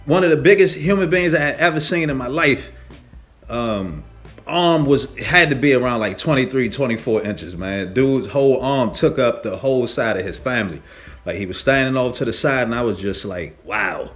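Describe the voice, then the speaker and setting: A man telling a story casually as a lifting vlogger, with an animated, slangy and emphatic way of talking. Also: medium pitch, moderate pace. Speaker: fitness vlogger, powerlifter.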